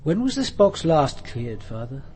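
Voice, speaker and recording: An actor playing a measured, formal, courteous senior police commander in a radio drama. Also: male voice, fairly high pitch, sing-song, mellow, slightly rough, full character voice.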